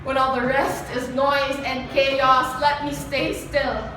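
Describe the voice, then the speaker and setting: A woman's voice: sits high, level tone, slow, recorded from a distance. A young woman with a Filipino accent performing spoken word poetry live. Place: live performance venue, heard from a distance. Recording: echoey venue from afar, noisy.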